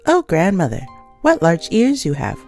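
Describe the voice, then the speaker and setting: Sweet wide-eyed fairytale girl, high voice, exclaims a lot, soft music behind. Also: wildly swooping pitch, moderate pace. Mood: astonished. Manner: exclaiming, wondering.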